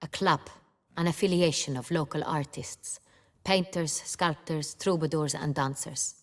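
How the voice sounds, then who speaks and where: An imperious, impatient noblewoman for a fantasy video game. Her voice sits low for a woman. She speaks formally, like someone used to command. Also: measured pace. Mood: measured, haughty.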